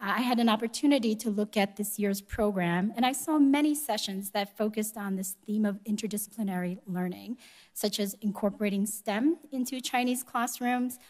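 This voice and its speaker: A woman delivering a prepared speech at a conference in a measured, polite and formal manner. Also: mid-range voice, a little mellow. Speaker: conference speaker, education organization executive. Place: live event room before an audience.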